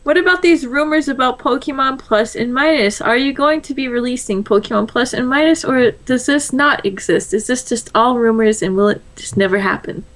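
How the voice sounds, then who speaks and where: Female co-host, a bit high, casual, reads questions, teasing. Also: moderate pace, few pauses. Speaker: podcast co-host reading audience questions. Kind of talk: casual chat.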